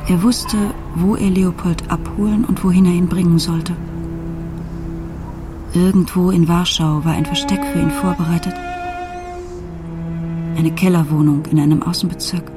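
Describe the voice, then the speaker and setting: A troubled, watchful mother , played by an actress narrates a radio drama. Her voice is fairly low, measured and introspective, with clear music behind it. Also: natural voice. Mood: suspenseful, measured.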